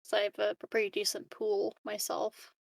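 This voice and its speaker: Creaky-voiced woman chatting during a game. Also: mid-range voice, completely flat delivery, normal speed, no echo. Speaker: player in a tabletop RPG. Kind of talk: casual chat.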